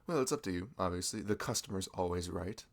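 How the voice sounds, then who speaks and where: A man's voice: sits low, lively intonation, quick pace. A young man doing a chatty audio roleplay as a friendly shopkeeper, laughing often between lines. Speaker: ASMR roleplay creator.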